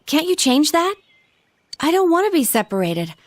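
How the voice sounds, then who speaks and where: A woman's voice: high-pitched, big pitch swings, moderate pace, no echo, clean studio sound. A woman voicing a bubbly, proud but clingy teenage schoolgirl in an anime video game, girlish and emotive. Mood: anxious, pleading.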